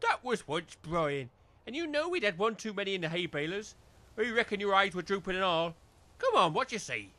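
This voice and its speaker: A man's voice: sits high, big pitch swings, fairly quick, bright, forceful tone, full character voice. A man playing a chummy, cajoling village labourer and chancer who speaks in rustic dialect, in a comedy audio drama. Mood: wheedling.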